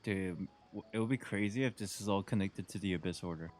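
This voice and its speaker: A man's voice: low-pitched, fairly quick, a little mellow. A man giving casual, laid-back playthrough commentary as a gaming streamer, speaking close to the microphone. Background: faint game music.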